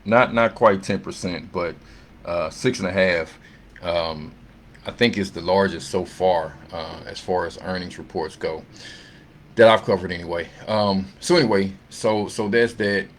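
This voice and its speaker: A man giving an informal, unscripted financial commentary as a presenter, talking in a conversational, halting way and often checking in with tag questions. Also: low-pitched, fairly even intonation. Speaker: presenter of an investment earnings summary. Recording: noticeable background noise.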